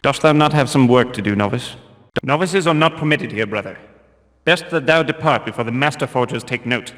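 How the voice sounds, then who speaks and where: Actor as a zealous temple guard, echoing hall. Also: male voice, medium pitch, a little fast, a bit bright, close to an everyday voice. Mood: stern. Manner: archaic, solemn, pious speech. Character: zealous male temple guard of a stern religious order. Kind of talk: video game guard barks. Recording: long cavernous reverb.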